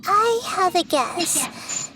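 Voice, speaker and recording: A woman voicing a gentle, wise young girl deity in a video game, speaking in a calm, measured, explanatory way with a light girlish tone. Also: very high-pitched, soft background music, exaggerated voice. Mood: calm, explaining.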